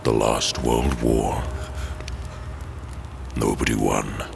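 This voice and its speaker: A man's voice: very deep voice, level tone, drawn-out words, gravelly, background noise, exaggerated voice. A man playing an ancient, all-knowing cosmic entity in a sci-fi audio drama, stern and scornful, with a grandiose, mocking delivery. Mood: grave. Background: music.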